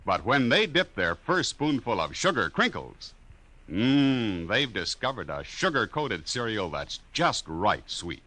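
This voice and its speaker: A man working as a 1950s radio announcer, reading an intro and an ad in a booming, salesman-like manner. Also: big pitch swings, unhurried, pushed and bright.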